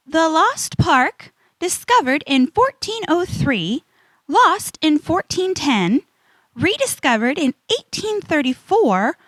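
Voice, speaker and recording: A woman playing a sensible, earnest young adventurer in a children's audio drama, expressive and easily astonished. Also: very high voice, no room sound, exaggerated voice. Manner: earnest, expressive, stammers in disbelief.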